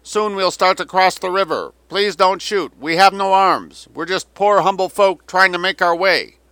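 A man in an audiobook voicing a Chinese propagandist who makes loud, imploring proclamations as if over a loudspeaker. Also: sits high, normal speed, no echo, voice pushed up. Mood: pleading, declamatory. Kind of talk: dramatic audiobook character reading.

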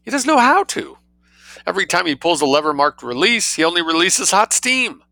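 A man voicing a shrill, indignant upper-class wife who speaks with dramatic intensity. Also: very animated, fairly quick, sharp tone, dry recording, heavily acted. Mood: frantic.

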